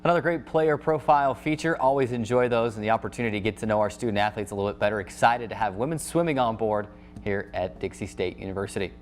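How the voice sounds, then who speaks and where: A man's voice: fairly low pitch, fast, a little hiss or hum. An American man hosting a sports show, giving a news rundown to camera and encouraging viewers in a promotional way. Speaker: sports show host and play-by-play announcer.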